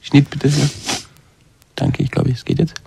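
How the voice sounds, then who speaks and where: A man narrating a radio play in a deliberate, mock-solemn way, with curt directions in between. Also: a little high, little pitch movement, vocal fry, mellow, slight background noise.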